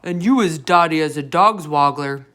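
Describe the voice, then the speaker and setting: A woman voicing a huge, brutish man-eating male giant for a storybook, booming and bullying in mangled grammar. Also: moderate pace, a bit bright. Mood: angry, insulting.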